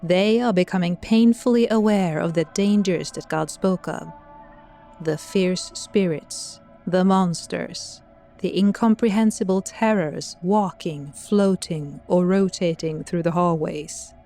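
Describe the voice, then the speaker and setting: A woman with a fairly low voice narrates a scripted audio drama. Her storytelling is wry, and music plays softly behind her. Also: slow pace.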